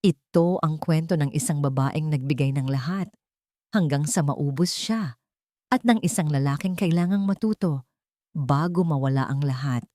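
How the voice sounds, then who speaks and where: Low-pitched Filipino woman narrating drama. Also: normal speed, mellow, no echo, studio quality. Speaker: story narrator. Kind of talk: dramatic scripted narration of romance story.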